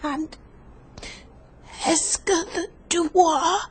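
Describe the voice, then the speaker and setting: An actress playing a frightened dying woman who speaks in halting, urgent gasps and whispers. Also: extremely high pitch, steady tone, heavily acted. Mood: weak, urgent.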